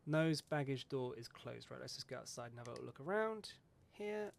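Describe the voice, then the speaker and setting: Chatty gravelly-voiced man talking flight sim. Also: medium pitch, expressive, a little fast, slight background noise. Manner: chatty, swears, rambling, self-mocking. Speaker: flight sim video host. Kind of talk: casual talk over a flight sim checklist.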